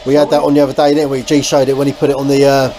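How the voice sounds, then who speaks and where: A man's voice: completely flat delivery, rapid-fire, crowd noise. A British man giving casual, hands-on vlog commentary, thinking aloud informally as he works on a small boat. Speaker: host of a boat restoration vlog. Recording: noisy ambient sound, engine-like rumble.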